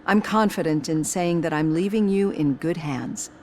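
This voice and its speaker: A woman playing a caring, composed doctor in a video game, speaking in a measured, sincere way. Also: on the low side, dark tone, slight background noise, lightly acted. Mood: kind, sincere. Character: caring, articulate female doctor, composed and earnest. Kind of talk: video game cutscene acting.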